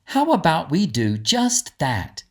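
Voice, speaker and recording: A man voicing a kindly, encouraging woman in a storybook, with a gentle, reassuring way of explaining things. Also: low-pitched, huge pitch swings, takes it slowly, full character voice. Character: kindly, encouraging woman guiding a young princess. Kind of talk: storybook character dialogue.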